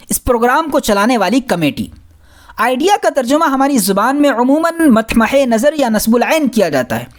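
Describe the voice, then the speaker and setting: A woman reading a scholarly religious audiobook in a measured, formal manner. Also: high voice. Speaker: audiobook reader. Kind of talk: scripted narration of a scholarly religious book.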